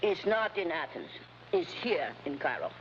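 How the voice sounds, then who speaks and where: Flirty female informant, very animated high voice, broken English, 1950s TV drama, faint hiss. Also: normal speed. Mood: coaxing. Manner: coaxing, playful, broken English. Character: flirtatious, carefree foreign woman informant in Cairo. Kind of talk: 1950s television crime drama acting. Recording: dull, hissy old TV soundtrack.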